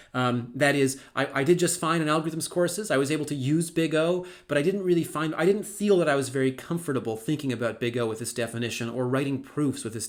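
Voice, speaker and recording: A middle-aged male university lecturer giving a recorded lecture, talking in a conversational way with wry asides and plenty of ums and restarts. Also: fairly high pitch.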